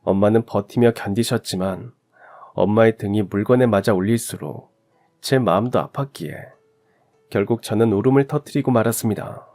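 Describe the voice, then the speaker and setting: Low-voiced man narrating a story. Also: moderate pace, a little mellow, soft background music. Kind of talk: scripted first-person story narration, radio drama.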